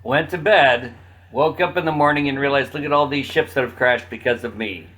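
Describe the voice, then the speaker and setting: A man's voice: medium pitch, brisk, a bit bright, low-quality recording. A man talking informally as a guest in a podcast conversation. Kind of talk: casual chat.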